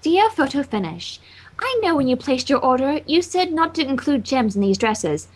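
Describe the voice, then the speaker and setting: A woman's voice: high voice, sing-song, normal speed. A woman voicing a prim, refined adult female cartoon pony dressmaker in a fan fiction reading, speaking formally and properly. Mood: prim, proud. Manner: formal, proper letter dictation.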